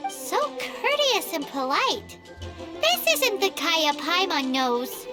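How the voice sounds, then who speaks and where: A woman voicing a tiny, excitable, chatty childlike fairy companion in an anime-style video game. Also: extremely high pitch, slow pace, heavily acted. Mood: surprised. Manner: speaks of self in third person, exclaiming. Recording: music behind.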